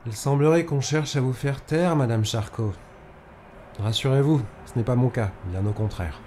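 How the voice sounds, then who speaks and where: An actor playing a cold, sardonic police investigator with blunt, menacing politeness in an audio drama. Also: male voice, medium pitch, normal speed, mellow, a little hiss or hum, lightly acted. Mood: cold sarcasm. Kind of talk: audio fiction acting.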